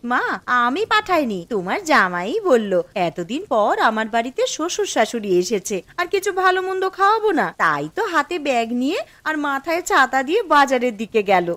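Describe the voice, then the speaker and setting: A woman's voice: sits high, big pitch swings, brisk, a bit bright. A woman voicing an insistent, nagging young village wife in a moral cartoon. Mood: worried, explaining. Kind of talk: Bengali moral cartoon dubbing.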